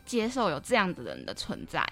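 A woman's voice: on the low side, huge pitch swings, brisk, creaky, soft background music. A woman with a Taiwanese Mandarin accent hosting a conversational podcast interview. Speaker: podcast host.

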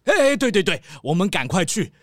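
A man playing a chatty, excitable town mayor in a children's radio drama, exclaiming and stretching words. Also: high-pitched, no echo, clean studio sound, heavily acted. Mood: eager. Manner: exclaiming, drawn-out words, gossipy.

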